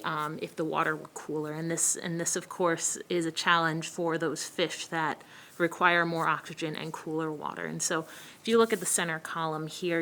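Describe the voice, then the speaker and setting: A woman's voice: fairly low pitch, a bit bright, a little hiss or hum. A woman presenting a city lake monitoring update at a public meeting, explaining steadily with frequent ums. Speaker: city environmental analyst giving an update.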